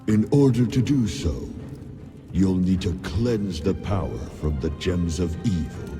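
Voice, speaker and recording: An actor playing a solemn, stern ancient guardian spirit in a fantasy game, speaking gravely and formally. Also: male voice, low voice, expressive, unhurried, music in the background. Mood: grave, commanding. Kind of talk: fantasy game cutscene acting.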